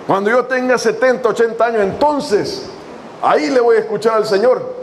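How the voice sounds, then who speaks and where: High-voiced guy preaching, slow scripture reading, a bit of background hiss. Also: poor audio. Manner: preaching with long pauses, reading scripture slowly. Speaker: pastor. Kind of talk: sermon. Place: church hall.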